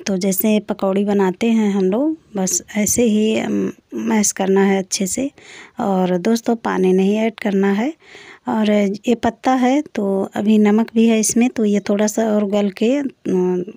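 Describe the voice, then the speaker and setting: Bright-voiced woman explaining home cooking. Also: mid-range voice, dry recording. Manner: explanatory, step-by-step. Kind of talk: casual recipe voice-over.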